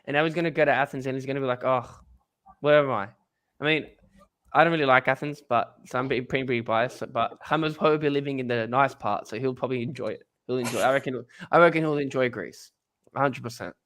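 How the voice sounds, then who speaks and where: A man's voice: medium pitch, fast, fairly bright tone. A young man from Australia, a podcast co-host chatting casually, rambling and joking. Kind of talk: casual chat.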